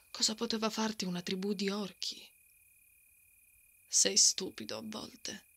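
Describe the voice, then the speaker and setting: A woman's voice: medium pitch, a bit bright, breathy edge. A woman playing a brave, stubborn and protective female knight in a fantasy ASMR roleplay. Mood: tender, vulnerable. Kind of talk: ASMR romantic fantasy roleplay, acted monologue.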